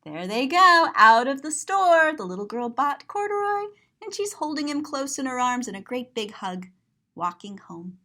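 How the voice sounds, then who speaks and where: A woman's voice: high voice, big pitch swings, normal speed, sharp tone. A woman reading aloud to children as a storyteller, expressively, with simple explanatory asides and dramatic gasps. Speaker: storyteller, author and teacher.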